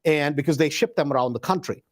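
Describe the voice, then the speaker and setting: A man hosting a casual storytelling talk, retelling an anecdote in an animated, emphatic way with acted-out dialogue and slangy phrasing. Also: a little high, quick pace. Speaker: host.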